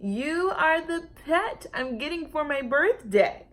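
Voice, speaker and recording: Woman voicing a loud, high-pitched bratty little girl. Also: animated, slow pace, heavily acted. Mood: triumphant, bossy. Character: bratty, bold little girl, demanding and delighted.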